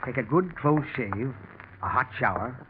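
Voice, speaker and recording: Smooth, nervous businessman plotter acted by a man, medium pitch, repeating himself politely; thin 1940s radio sound. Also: expressive, normal speed, natural voice. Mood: eager, nervous. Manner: genteel, coaxing, repeats words. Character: well-off, scheming businessman, smooth-talking and nervous. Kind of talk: 1940s radio mystery acting. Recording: scratchy, narrow-band 1940s radio recording.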